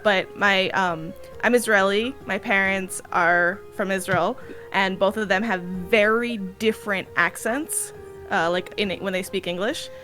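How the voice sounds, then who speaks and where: A woman with a New Jersey accent hosting a podcast, chatty and quick. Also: mid-range voice, fairly even intonation, pushed and bright, soft background music. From New Jersey, United States. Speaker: podcast host. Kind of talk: casual podcast discussion.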